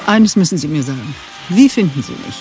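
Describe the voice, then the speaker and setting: A blunt, impulsive female boss in a radio drama, commanding yet desperate. Her voice sits slightly low and swings widely in pitch, with clear music behind it. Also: expressive, a little fast. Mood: despairing, needy. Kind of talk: German radio play acting.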